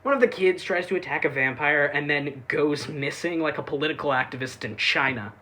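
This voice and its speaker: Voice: mid-range voice, a little fast, pushed and bright. A reviewer giving a casual, sarcastic recap with irreverent, sweary delivery. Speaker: YouTube anime reviewer.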